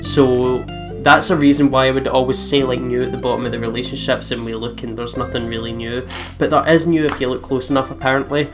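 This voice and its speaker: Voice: medium pitch, steady tone, fast, music in the background. A Scottish let's player chatting casually and agreeably while playing a visual novel as co-host. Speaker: co-host of a gaming let's play.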